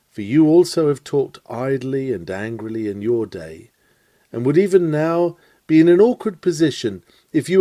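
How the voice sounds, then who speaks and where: Man, mellow tone, medium pitch, scripted sermon reading, measured emphatic phrasing, close dry sound. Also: dry recording. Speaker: reader of a recorded sermon lecture. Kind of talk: scripted read-aloud of a Victorian lecture.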